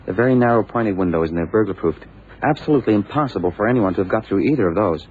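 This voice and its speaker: A lively, plain-spoken sidekick to a private eye, played by a man. His delivery is slangy and direct, swinging in pitch, in vintage radio drama style. The sound is muffled with faint noise. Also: fast, close to an everyday voice. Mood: insistent. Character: brisk, plain-spoken American detective's assistant, male, loyal. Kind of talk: 1960s-70s radio drama acting. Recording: muffled old radio broadcast, faint hiss.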